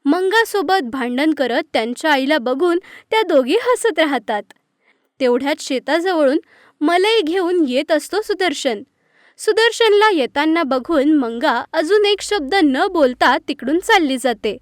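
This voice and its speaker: A woman storyteller with a high voice reads a scripted children's tale. The recording is very dry and close. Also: lively intonation, a little slow, no room sound. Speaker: narrator.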